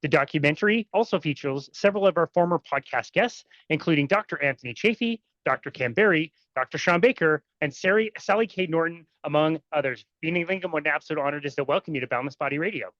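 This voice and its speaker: A man's voice: a little high, fast talker, few pauses, fairly bright tone, no room sound, highs cut off. A man hosting a podcast interview, enthusiastic and full of praise for his guest. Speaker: podcast host.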